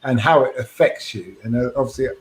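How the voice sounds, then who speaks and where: A man's voice: mid-range voice, brisk. A man co-hosting a podcast, chatting casually and thoughtfully over a video call, often restarting his phrases. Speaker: podcast co-host.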